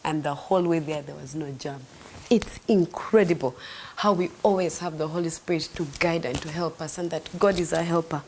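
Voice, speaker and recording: A young woman, telling a faith testimony in an earnest, animated way that sometimes drops to a soft near-whisper. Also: deep voice, normal speed, slight background noise. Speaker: host sharing a faith testimony. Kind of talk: devotional storytelling.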